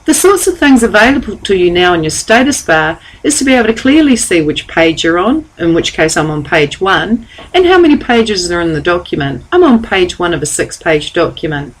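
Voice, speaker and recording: A woman giving a calm, step-by-step software tutorial over a screen recording. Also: lowish voice, a little fast, hardly any pauses, poor audio. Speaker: software trainer.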